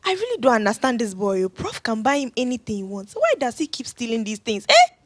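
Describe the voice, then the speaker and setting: An actress playing a nagging, dutiful auntie who keeps house, in an audio drama. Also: female voice, on the high side, huge pitch swings, fairly quick, no echo. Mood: exasperated.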